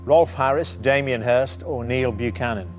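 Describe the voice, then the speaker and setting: Guy hosting a tv quiz, medium pitch, bit of music underneath. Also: moderate pace. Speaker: quiz show host. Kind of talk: presenting and quizzing contestants on a TV quiz. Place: TV studio. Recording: faint music bed behind.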